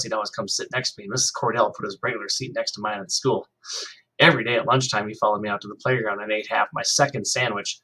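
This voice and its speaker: A man's voice: fairly low pitch, sharp tone, husky, poor audio. A male teacher reading a novel aloud to his class, reading expressively and stopping to ask the students questions.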